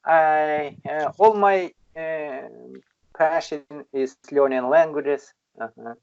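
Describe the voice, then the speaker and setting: Slow, halting older man. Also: on the high side, clean tone, no echo. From Kalmykia, Russia. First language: Kalmyk. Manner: halting, with many long hesitations. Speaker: English learner in an online conversation group. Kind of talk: casual chat. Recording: muffled, dry online call.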